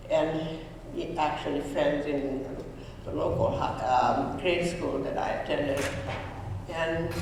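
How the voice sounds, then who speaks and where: A woman, giving an earnest, halting personal testimony to students, often restarting her sentences. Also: deep voice, darkish tone, a little hiss or hum. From Hungary. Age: 90s. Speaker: Holocaust survivor speaking to students. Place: school room or auditorium. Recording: echoey hall, voice from far off.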